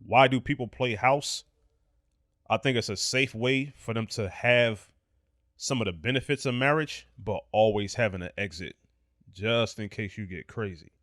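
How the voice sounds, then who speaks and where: A man's voice: fairly low pitch, normal speed, a bit bright, dry recording, clean studio sound. A man in his thirties co-hosting a podcast, talking candidly and emphatically, swearing freely in casual chat. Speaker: podcast co-host.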